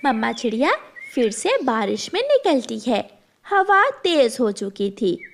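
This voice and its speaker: A woman narrates a children's tale in a high, animated voice. Her pace is unhurried, as in scripted storytelling. Clear noise is audible behind her.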